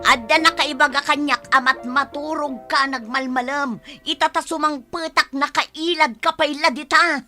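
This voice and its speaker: High, sharp exasperated Filipino wife played by a woman. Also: fairly even intonation, moderate pace, faint music behind. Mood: weary, complaining. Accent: Filipino, Ilocano. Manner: nagging, emphatic. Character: exasperated hardworking wife and mother scolding her lazy husband. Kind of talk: radio drama acting.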